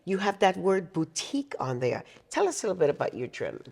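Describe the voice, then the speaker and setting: A woman hosting a talk show interview in an affirming, expressive way. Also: lowish voice, a little fast, gravelly.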